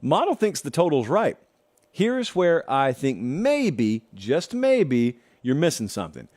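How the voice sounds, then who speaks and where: A man hosts a college football show from a studio, running through game picks and talking straight to his viewers. His delivery is chatty and animated, with a lively pitch that jumps up and down as he jokes around. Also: slow. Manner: animated, conversational, jokey asides to viewers. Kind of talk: sports betting picks and chat to camera.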